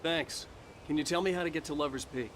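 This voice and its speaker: A man's voice: a little high, a little fast, lightly acted. Guy playing terse writer hero in a game, dry and irritated, noisy ambience behind him. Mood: polite. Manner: dry, sardonic. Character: terse, dry-witted writer under strain. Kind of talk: video game cutscene acting.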